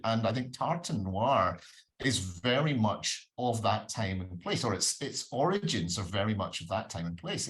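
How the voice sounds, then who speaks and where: A Scottish man speaks as a guest author in a reflective discussion. His voice is a little on the low side, and he talks in a considered, articulate way, restating phrases to press a point. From Scotland. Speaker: crime novelist, festival panel guest.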